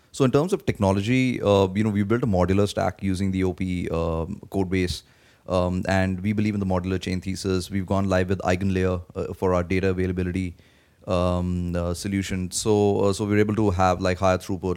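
A man being interviewed as a guest, answering in a halting way with many fillers, his voice worn from days of talking. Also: sits low, steady tone, a little mellow. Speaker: guest, blockchain project team member. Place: conference venue.

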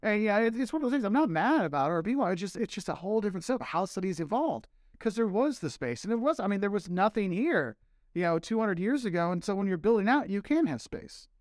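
A man's voice: high voice, fast, dry recording, studio quality. An American man, a marketing professor and travel vlogger, telling stories in a casual podcast chat and acting out the voices he quotes. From United States. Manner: animated storyteller, acts out quoted voices.

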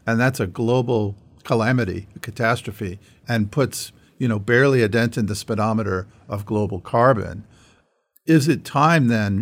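Lower-voiced male interviewer. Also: gravelly. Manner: thinking aloud in long questions. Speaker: interviewer, host. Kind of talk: conversational interview questioning.

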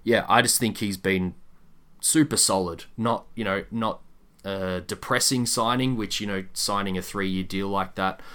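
A man's voice: lowish voice, steady tone, a little slow, a bit bright, clean tone. A man hosting a sports podcast, discussing basketball in a casual, conversational way.

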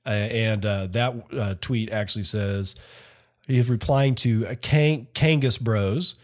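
A man's voice: on the low side, slow. A man hosting a solo podcast, talking casually and rambling, often correcting himself mid-sentence. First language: not Finnish. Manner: rambling, self-correcting, chatty. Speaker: podcast host.

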